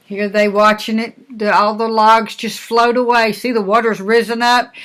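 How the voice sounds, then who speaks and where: An older woman, a grandmother, reading a picture book aloud to young children with gentle, folksy explanations and chatty asides. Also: on the high side, little pitch movement, normal speed, rough recording.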